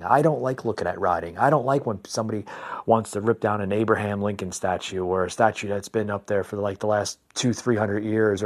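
A fairly low-voiced American man talks off the cuff as a political podcast host. He is opinionated and repeats himself, often halting and restarting as he addresses his listeners directly. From New Jersey, United States. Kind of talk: unscripted political commentary.

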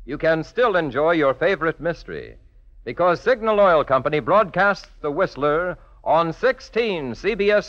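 A man delivering a polished, promotional scripted announcement in the style of a 1940s radio sponsor spot. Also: a little high. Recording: dull vintage radio recording.

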